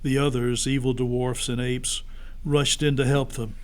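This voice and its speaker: A man's voice: mid-range voice, little pitch movement, a little slow, clear, resonant tone. A man narrating a story expressively, as a pastor reading aloud to children.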